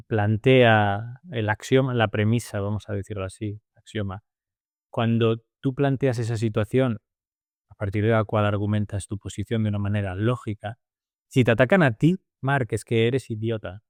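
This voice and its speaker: A man's voice: on the low side, steady tone, normal speed, dry recording, studio quality. A man co-hosting a casual podcast, working through his points with everyday examples and frequent restarts. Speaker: podcast co-host.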